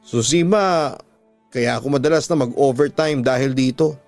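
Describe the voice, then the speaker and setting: A man's voice: mid-range voice, normal speed, a little mellow, dry recording, soft background music, natural voice. A man doing a pleading, remorseful husband. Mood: earnest. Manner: earnest, pleading. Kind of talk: radio drama acting.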